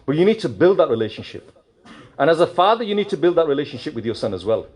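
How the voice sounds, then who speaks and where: A man giving a mosque sermon, animated and full of rhetorical questions, slipping in Urdu and Punjabi phrases. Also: a little high, quick pace. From United Kingdom. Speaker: preacher.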